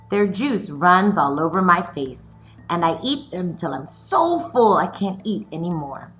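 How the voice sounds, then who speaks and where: Female children's read-aloud teacher, slow and bright, expressive and instructive, music behind. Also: fairly low pitch, poor audio. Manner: instructive, asks listeners questions and pauses; expressive storybook reading. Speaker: teacher reading aloud to pupils.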